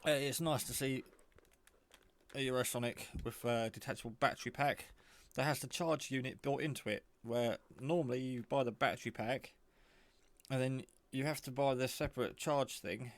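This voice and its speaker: A man's voice: mid-range voice, fairly even intonation, brisk. A man giving a casual, rambling, informal show-and-tell of a piece of hobby gear. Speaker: radio hobbyist showing a handset.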